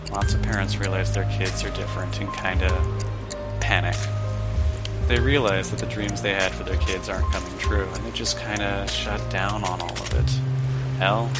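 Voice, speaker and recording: A man playing a haunted, compassionate psychiatrist in an audio drama, confiding in an earnest, halting way. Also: on the low side, steady tone, highs cut off, loud music behind the voice, natural voice. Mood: grave, gentle. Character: world-weary compassionate male psychiatrist, haunted by his past.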